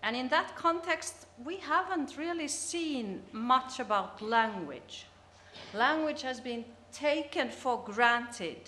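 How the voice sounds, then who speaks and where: Bright-voiced Finnish woman presenting. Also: high-pitched, lively intonation, slow pace, slight background noise. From Finland. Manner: hesitant, restarts words mid-sentence. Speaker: conference presenter. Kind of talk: academic presentation. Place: conference hall.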